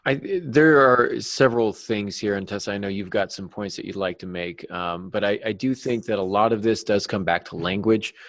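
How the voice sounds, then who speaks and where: A man co-hosting a podcast, explaining casually with halting false starts and small jokes. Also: fairly low pitch, a bit bright, dry recording, studio quality. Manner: joking, hesitant false starts. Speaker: podcast co-host, novelist.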